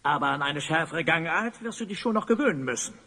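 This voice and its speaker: An evil sorcerer rules his audio drama scenes with pompous, theatrical commands. The voice sits fairly high and swings wildly between gloating and barking, ringing through a long reverb. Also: lively intonation, fast, bright, forceful tone. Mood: stern. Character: tyrannical, gloating evil sorcerer, Master of Time. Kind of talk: German audio drama acting.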